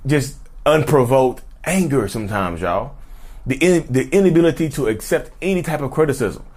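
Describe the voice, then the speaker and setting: A man's voice: medium pitch, darkish tone. A man talking casually to camera, chatty and rambling, who keeps calling his viewers y'all and wanders off into sidebars. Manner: repeats and restarts, drifts into sidebars. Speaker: YouTuber talking about narcissism. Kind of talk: casual direct-to-camera talk.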